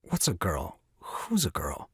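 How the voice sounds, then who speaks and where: A man's voice: deep voice, wildly swooping pitch, slow, slightly rough, no echo, clean studio sound, full character voice. A man playing a flustered, indignant young new father in a comic stage farce, blustering and exclaiming. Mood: groggy, puzzled.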